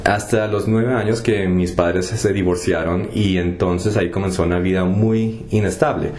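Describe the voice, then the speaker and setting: A young man from the United States telling his personal story in a casual, halting way, with many fillers and restarts. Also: low-pitched, steady tone, a little mellow. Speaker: testimony vlogger. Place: home kitchen.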